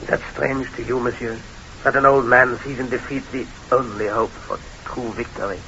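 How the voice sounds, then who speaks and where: A man's voice: medium pitch, steady tone, moderate pace, bright, forceful tone, lightly acted. An actor playing an old French patriot father, grave and courteous, with a French accent and formal, theatrical delivery in old-time radio drama style. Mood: proud, solemn. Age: older. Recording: dull, hissy old radio recording.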